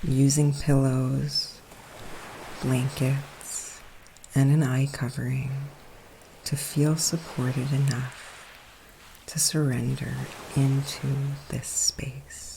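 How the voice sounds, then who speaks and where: Slow, soft-spoken woman guiding meditation over waves. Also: rumbling low, gravelly. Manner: soothing, hushed, unhurried with long pauses. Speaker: guided meditation coach. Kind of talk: guided relaxation meditation. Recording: steady ocean surf noise behind. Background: sea waves.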